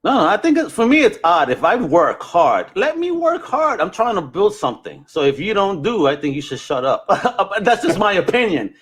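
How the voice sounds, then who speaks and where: A man's voice: high-pitched, sing-song, normal speed, few pauses, fairly bright tone, slightly rough. A man hosting a casual podcast, talking in an enthusiastic, effusive and conversational way. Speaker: podcast host.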